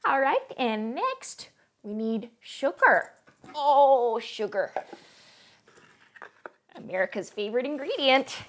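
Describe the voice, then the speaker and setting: A young woman playing a chirpy, wacky cooking-show host in a home kitchen, with a bubbly sing-song delivery and sudden hushed asides. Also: high-pitched, very animated, takes it slowly, pauses often, full character voice. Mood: perky. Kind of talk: parody cooking show, scripted comedy.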